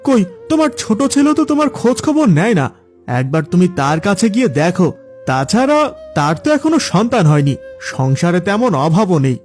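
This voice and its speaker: A man's voice: high voice, very animated, fairly quick, darkish tone. A man playing an irritable, evasive grown son in a cartoon story, with a complaining delivery. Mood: irritated. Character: neglectful adult son, irritable, evasive, hard-up. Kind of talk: cartoon moral story dialogue. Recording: music under the voice.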